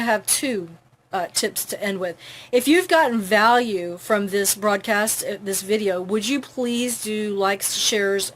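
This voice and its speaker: A woman hosting an informal live advice broadcast, instructive and earnest, often checking in with the listener as she explains. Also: medium pitch. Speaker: host of a live advice broadcast for truck drivers. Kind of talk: informal live tips talk to camera.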